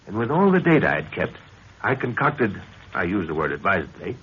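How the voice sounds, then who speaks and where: An actor playing an older, obsessed and commanding scientist-explorer in a 1930s radio adventure serial, speaking in an emphatic, declamatory way. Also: male voice, deep voice, very animated, fairly quick, fairly bright tone. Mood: eager, intent. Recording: dull, noisy 1930s radio recording.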